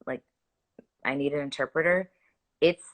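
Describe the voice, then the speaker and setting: Bright-voiced earnest young woman nurse. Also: sits low, moderate pace. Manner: earnest, animated, frequent "like" fillers and restarts. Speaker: hospital nurse. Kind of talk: conversational interview answers.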